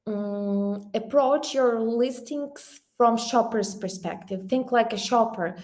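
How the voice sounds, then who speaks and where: A woman from Ukraine presenting an online workshop over a video call, speaking slowly with many fillers and restarts. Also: on the high side, a little mellow.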